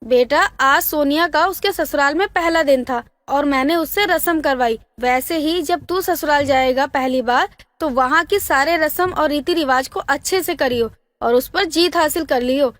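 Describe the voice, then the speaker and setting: Lady playing a proud bossy indian-family-story mom-in-law, really high voice, dry recording. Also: moderate pace, hardly any pauses, a bit bright, no room sound. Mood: instructing.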